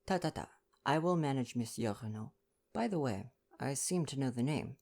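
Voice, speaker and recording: A man voicing a fastidious, vain older Belgian detective from a storybook, speaking in formal, courteous foreign phrasing. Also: medium pitch, lively intonation, gravelly, darkish tone, voice pushed up. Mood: brisk, confident. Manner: formal foreign phrasing, sighing self-importance. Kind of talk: storybook dialogue reading.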